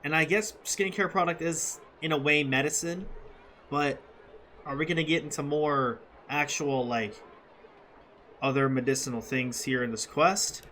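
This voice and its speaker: A man giving casual, rambling gameplay commentary as a streamer, slangy and quick to react. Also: a little high, fairly even intonation, pushed and bright, a little hiss or hum. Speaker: video game streamer. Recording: faint game music behind.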